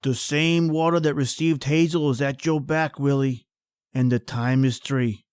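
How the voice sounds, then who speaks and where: An actor playing a two-faced killer who poses as a friend, theatrical and taunting, in an old-time radio thriller. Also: male voice, medium pitch, fairly even intonation, a little slow, darkish tone, clear, resonant tone, dry recording, clean studio sound, lightly acted. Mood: cold menace.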